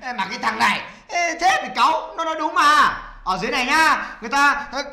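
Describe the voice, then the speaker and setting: Man acting high-pitched, bossy, exasperated guy. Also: lively intonation, quick pace, vocal fry, pushed and bright, echoey room, exaggerated voice. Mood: teasing, bickering. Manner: bickering, bossy, exclaiming. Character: exasperated, bossy young man, the monkey king reborn. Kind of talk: comedy skit dialogue.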